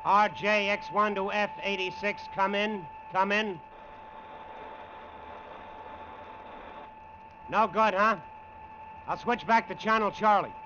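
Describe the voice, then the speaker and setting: An actor playing a lone test pilot, awed and straining, in a halting, dramatic old-time radio monologue. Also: male voice, sits high, steady tone, background noise. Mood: urgent calling. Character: male test pilot alone in a rocket plane, awed, straining. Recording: dull, lo-fi vintage radio recording.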